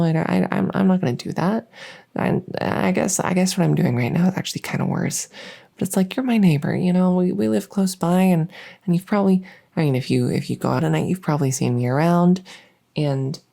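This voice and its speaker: Girl acting as a lonely awkward vampire lady for asmr roleplay, mellow creaky voice, stammers and rambles earnestly. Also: on the low side. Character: awkward, lonely female vampire pleading for a friend. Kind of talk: ASMR audio roleplay to the listener.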